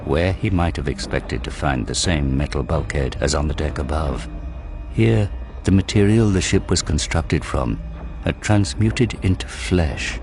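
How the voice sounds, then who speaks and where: Deep-voiced man narrating over music. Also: measured pace. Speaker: audio drama narrator. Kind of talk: dramatic scripted narration of a war story. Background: prominent dramatic music.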